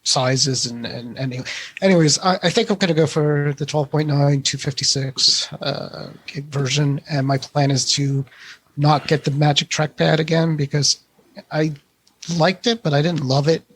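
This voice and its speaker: A man's voice: fairly high pitch, fairly even intonation, measured pace, breathy edge. A man co-hosting a tech podcast, chatting casually and hesitantly as he thinks aloud. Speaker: podcast co-host.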